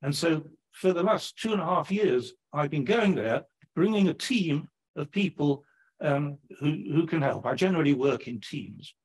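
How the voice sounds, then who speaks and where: An older man with an English accent speaks at a lectern microphone in a lecture hall. His voice sits fairly high and swings widely in pitch as he talks. The tone is a little husky, and the delivery is chatty and animated. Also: normal speed. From England, Sheffield. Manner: animated, chatty, frequent "right?" tags. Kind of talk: public lecture.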